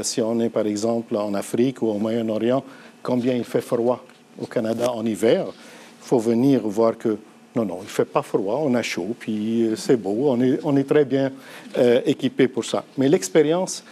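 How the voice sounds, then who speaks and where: A middle-aged man from Lebanon, an Arabic speaker, giving an earnest, measured conference talk at a university. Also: mid-range voice, warm tone.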